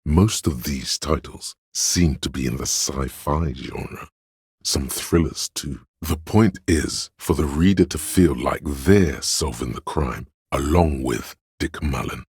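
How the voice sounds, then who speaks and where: A man voicing a snobbish inner voice of artistic taste in a video game, gravelly and husky, with a pompous, deliberate, theatrical delivery. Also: rumbling low, a little mellow, no room sound, studio quality, full character voice. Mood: sardonic, disdainful. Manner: pompous, deliberate, disdainful, florid. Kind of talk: scripted game narration, theatrical.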